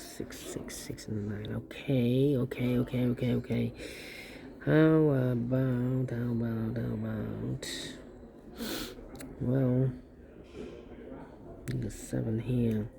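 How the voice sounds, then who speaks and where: A man's voice: medium pitch, creaky. A man giving a step-by-step puzzle tutorial, thinking aloud and often repeating short phrases as he mutters to himself. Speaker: puzzle instructor.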